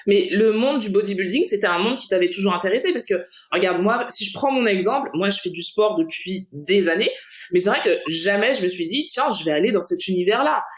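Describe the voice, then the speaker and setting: A woman's voice: mid-range voice, animated, a little fast. A woman hosting a podcast, asking questions in an upbeat, enthusiastic, colloquial way. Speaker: podcast host. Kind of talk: casual podcast interview. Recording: roomy echo.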